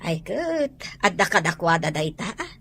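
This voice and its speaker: A woman's voice: lowish voice, sing-song, moderate pace, a bit bright, a little hiss or hum. A woman playing a practical middle-aged mother of a married son in an audio comedy drama. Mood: matter-of-fact.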